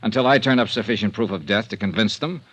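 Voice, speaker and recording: An American man playing a dry, persistent insurance investigator in vintage radio noir style, with measured, matter-of-fact delivery. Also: lowish voice, a bit bright, no room sound. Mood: firm, explanatory. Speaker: lead actor and narrator.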